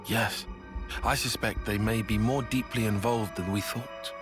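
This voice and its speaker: Calm careful strategist guy, man voicing, lowish voice, game music behind. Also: lightly acted. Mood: serious. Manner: measured, reasoned, reserved. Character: serious analytical young man, cautious strategist.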